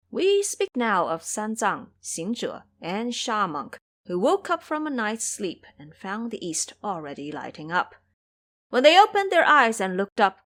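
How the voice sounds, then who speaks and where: A woman tells a scripted tale as an audio drama narrator. Her voice sits at a medium pitch and moves expressively, with very lively intonation.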